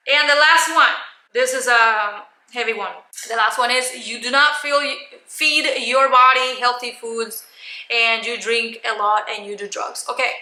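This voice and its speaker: A woman giving a motivational coaching talk to camera in a loud, emphatic, blunt manner. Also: on the high side, reverberant, low-quality recording. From Cuban. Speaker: life coach, video host.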